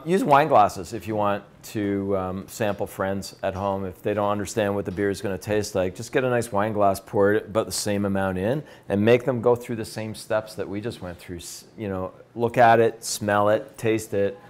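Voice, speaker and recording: A man's voice: on the low side, steady tone, normal speed. A man in his fifties, enthusiastically leading a beer tasting seminar in a brewery room, picked up by a camera from across the room. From Canada. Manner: conversational, enthusiastic, gestures while talking. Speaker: beer educator.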